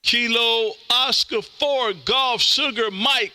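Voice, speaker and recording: A man's voice: extremely high pitch, steady tone, takes it slowly, heavy background noise. A boisterous, folksy man, hosting amateur radio calls and joking with his contacts. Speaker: amateur radio host, live streamer. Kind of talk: animated ham radio contact calls and banter. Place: home radio room.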